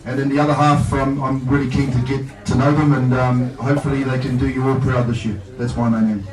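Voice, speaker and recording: A man's voice: mid-range voice, flat delivery, brisk. A man with a New Zealand accent speaking as a coach at a fan evening, loose and self-deprecating, full of fillers. He sits far from the microphone in an echoey function room. A crowd murmurs behind him. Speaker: head coach. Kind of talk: casual speech at a fan evening.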